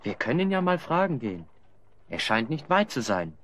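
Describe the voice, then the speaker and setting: Lively, wry adventurer hero. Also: male voice, medium pitch, normal speed. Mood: curious. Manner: wry, teasing. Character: good-natured, teasing, curious adventurer hero. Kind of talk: radio play acting.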